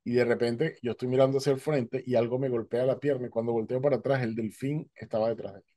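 A man's voice: mid-range voice, steady tone, quick pace, dark tone, no room sound, clean studio sound. A man in his forties telling a personal testimony on a headset mic at home, acting out the dialogue as he goes. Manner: vivid storyteller, acting out dialogue. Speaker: guest speaker. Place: home, indoors, virtual background.

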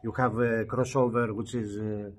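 A man's voice: on the low side, little pitch movement, moderate pace. A man interviewing someone casually as a video host, echoing answers in short phrases. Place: indoor trade show demo room.

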